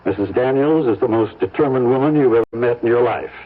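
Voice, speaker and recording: An American man acting in an old-time radio drama as a sly, condescending negotiator who needles with dry, deliberate pauses. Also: mid-range voice, lightly acted. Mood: condescending pressure. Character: sly, condescending lawyer-like negotiator for rich woman. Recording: muffled old radio broadcast.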